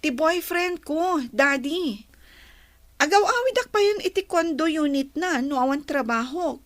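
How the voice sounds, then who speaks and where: Very high-voiced, ailing young Filipino daughter. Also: female voice, lively intonation, unhurried, no echo. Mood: weakly reassuring. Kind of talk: Ilocano radio drama acting.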